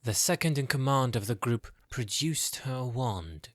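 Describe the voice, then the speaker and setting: A man's voice: medium pitch, expressive, measured pace, dry recording, clean studio sound. A man narrating a scripted fantasy audio drama in a dramatic storytelling style.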